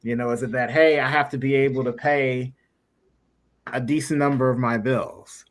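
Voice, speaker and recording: A man's voice: medium pitch, steady tone, normal speed. A man hosting a casual interview, rambling his way around to each question. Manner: rambling, circles around questions before landing them. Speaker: interview host.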